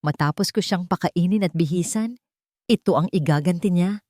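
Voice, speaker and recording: A woman voicing an enraged, paranoid rich husband in a dramatic audio story, indignant and shouting. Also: lowish voice, moderate pace, dry recording, clean studio sound, natural voice. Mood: seething.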